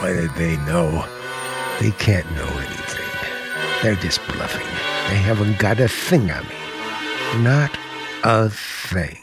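A man acting in a radio play as an evasive, outwardly polite man on the run with secret menace, speaking in a halting, dryly polite way. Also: low-pitched, loud music behind the voice. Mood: muttering defiance.

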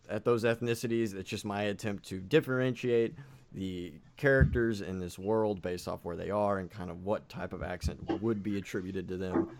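A man hosting and narrating a tabletop game as dungeon master, slightly raspy from fighting a cold, casual with many fillers. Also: fairly low pitch, darkish tone. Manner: casual, with frequent fillers and restarts.

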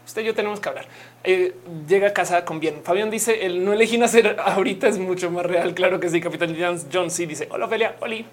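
A woman's voice: fairly low pitch, brisk. A young woman from Colombia who speaks, hosting a casual livestream, chatty and playful as she reads comments and laughs often. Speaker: livestream host. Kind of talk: casual live-stream chat reading comments.

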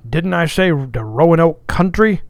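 A man's voice: medium pitch, fairly even intonation, moderate pace, a little mellow, no room sound, natural voice. A man voicing a sullen, weary ship's cook in his nineties, grumbling in folksy dialect for an audiobook. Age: 90s. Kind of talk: audiobook character voice.

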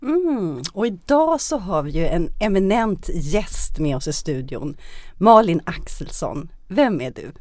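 A woman's voice: on the low side, very animated, darkish tone, no echo, studio quality. A woman hosting a podcast in a conversational way. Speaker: podcast host, theatre artistic director.

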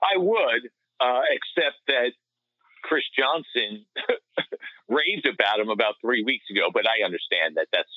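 A man co-hosting a sports podcast, discussing things casually in a measured, conversational manner. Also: mid-range voice, lively intonation, no echo. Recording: slightly dull lo-fi audio.

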